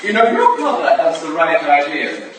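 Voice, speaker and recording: Man acting a swaggering, lively English gentleman rake. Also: on the high side, normal speed. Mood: boastful, breezy. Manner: swaggering, confiding, breezy. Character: boastful, drunken upper-class English gentleman rake. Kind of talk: staged reading of period drama. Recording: echoey room from far off, noisy.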